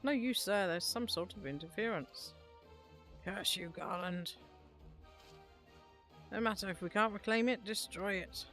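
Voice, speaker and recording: A woman's voice: mid-range voice, a little slow, pauses often, fairly bright tone, faint music behind, lightly acted. A woman voicing a hostile, clipped imperial soldier giving urgent orders in a fantasy video game. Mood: urgent, commanding. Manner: clipped, commanding.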